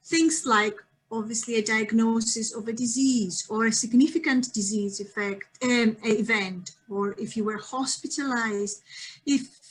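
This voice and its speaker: A woman's voice: fairly high pitch, fairly bright tone, low-quality recording. A woman with a Greek accent giving an online lecture over a video call, friendly and using many ums and restarts.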